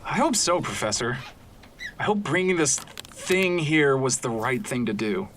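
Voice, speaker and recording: A man's voice: mid-range voice, animated, unhurried, fairly bright tone, slight background noise. A man playing an anxious, deferential assistant to a professor in an audio horror drama, hesitant and earnest. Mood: worried. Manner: hesitant, earnest, repeats himself.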